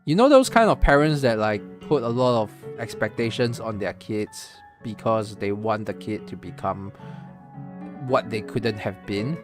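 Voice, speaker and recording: A man's voice: on the low side, expressive, measured pace, over music. A man from Singapore giving casual live-stream commentary on a game's story, thinking aloud with lots of fillers and restarts. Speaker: streamer reacting to a game story.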